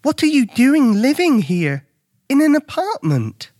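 A man's voice: very high-pitched, huge pitch swings, a little slow, a little mellow, dry recording, clean studio sound, pitched above the performer's own voice, full character voice. A man voicing a nervous, earnest young boy in a children's story, speaking politely and a little hesitantly. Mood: astonished.

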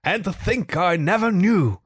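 A man's voice: sits high, animated, a little slow, breathy edge, dry recording, clean studio sound, voice pushed up, heavily acted. Storybook toad, male reader acting: obsessed and blissful, rhapsodic murmur, lingering delivery, wide melodic swings. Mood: dreamy, rapturous. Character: dreamy, besotted male toad in raptures of obsession. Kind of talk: storybook character reading.

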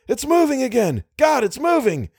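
A male reader plays an anxious, cautious man in a pulp horror story. His voice rises high and tight with strain as he calls out. The recording is dry. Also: huge pitch swings, normal speed, darkish tone, slightly rough, no room sound, exaggerated voice. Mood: frightened excitement. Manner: calling out tensely, voice tight with strain. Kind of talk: pulp horror audiobook dialogue.

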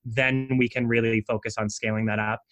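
A man's voice: mid-range voice, a little fast. Man animatedly explaining as an interview guest. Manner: animated explainer, frequent "like" asides. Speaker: branding coach guest. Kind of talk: conversational interview.

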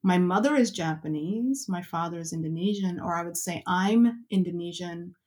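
A woman's voice: lowish voice, moderate pace, darkish tone. Thoughtful woman telling a personal story. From Canada. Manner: thoughtful, hesitant, with many fillers and restarts. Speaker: podcast guest.

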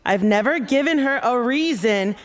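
A woman from the audience reading a written question aloud in a careful, measured way. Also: a little high, expressive. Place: theater with live audience.

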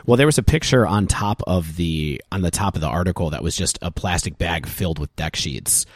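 Deep-voiced man explaining tournament stats. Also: animated, a little fast, no echo, clean studio sound. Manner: walks through data at length, thoughtful. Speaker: podcast co-host. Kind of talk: casual podcast discussion.

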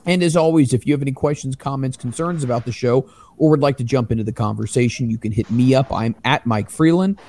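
A man hosting a casual wrestling podcast, loud, excitable and profane. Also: medium pitch, quick pace. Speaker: podcast host.

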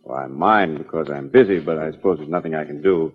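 Deep-voiced, guarded radio businessman. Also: fast, breathy edge. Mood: guarded, businesslike. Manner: curt, matter-of-fact. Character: busy, reluctant male financial advisor, guarded but businesslike. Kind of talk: 1940s radio drama acting. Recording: tinny, narrow-band 1940s radio recording.

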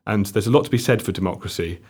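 A young man from South Wales with a South Welsh accent, explaining economics on a discussion panel in a measured way that stumbles and restarts. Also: fairly low pitch, darkish tone. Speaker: panel guest.

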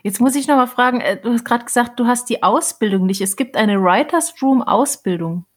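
A woman's voice: a little high, a little fast, breathy edge. A woman co-hosting a podcast in a casual, chatty style. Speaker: podcast co-host.

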